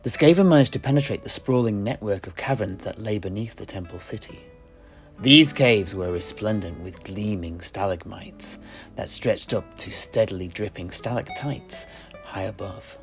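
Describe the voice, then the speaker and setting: Man playing a low, gravelly tavern-keeper storyteller. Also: faint music behind, lightly acted. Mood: grave storytelling. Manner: theatrical, deliberate, with scholarly asides. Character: genial tavern keeper and amateur historian storyteller, measured and wry. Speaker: storyteller and host of an audio play. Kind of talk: in-universe scripted storytelling to tavern listeners.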